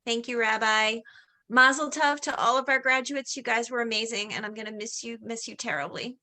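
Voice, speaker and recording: A woman's voice: a little high, fairly quick, bright, forceful tone. A woman educator leading a blessing, upbeat, speaking from a distance from the mic.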